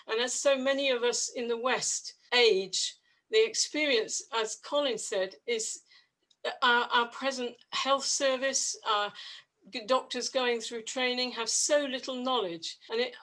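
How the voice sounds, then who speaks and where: An older woman in her sixties or beyond giving a reflective personal account on a webinar, with halting, restarted phrases. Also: on the high side, fairly bright tone, rough recording. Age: 60s or older. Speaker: panel guest, polio survivor.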